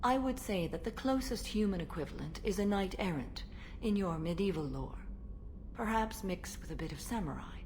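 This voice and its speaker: A woman playing an ancient, stern and serene alien warrior in a video game, speaking formally and with measured solemnity. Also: mid-range voice, animated, a little mellow, a little hiss or hum, lightly acted. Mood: stern, composed. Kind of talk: video game dialogue acting.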